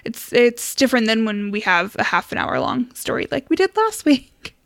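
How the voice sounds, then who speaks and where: A woman's voice: on the high side, sing-song, normal speed, gravelly, a bit bright, no echo, studio quality. A woman co-hosting a podcast in casual, informal conversation with a giggly manner. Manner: informal, giggly, mildly sweary. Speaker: podcast co-host.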